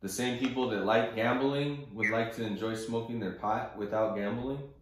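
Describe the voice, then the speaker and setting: A man's voice: mid-range voice, steady tone, moderate pace. A man hosting an investing conference call, giving informal, opinionated market commentary off the cuff in blunt, coarse everyday language. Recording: very roomy, echoing sound.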